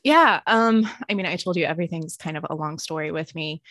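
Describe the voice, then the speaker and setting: A woman in her thirties, a ministry leader and author, talking about her life in a reflective, earnest way with soft laughs. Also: low voice, fairly quick. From born in Greece, raised in the United States and Romania. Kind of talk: casual interview conversation.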